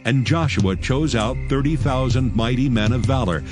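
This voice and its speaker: A man's voice: mid-range voice, moderate pace. A man narrating a dramatized Bible reading in a formal, solemn manner. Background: loud background music.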